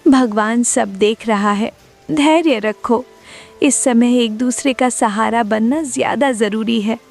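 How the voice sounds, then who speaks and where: An elderly mother-in-law played by a woman speaks slowly in a creaky voice. She is patient, devout and consoling, in cartoon moral-story style, with faint music behind her. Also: a little high, a little mellow. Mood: gentle, consoling. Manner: consoling, placating.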